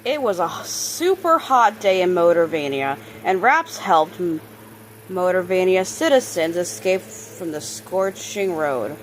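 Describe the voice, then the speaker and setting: A woman reading a children's book aloud, expressive on characters' lines and sometimes stumbling and correcting herself. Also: on the low side, a little hiss or hum, poor audio. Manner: halting reader, stumbles and corrects words. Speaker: storybook reader.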